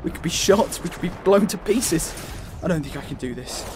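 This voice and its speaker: A man's voice: high voice, lively intonation, brisk. A man playing a frightened, doubting young soldier in a First World War radio drama. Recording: noisy, with gunfire and artillery behind.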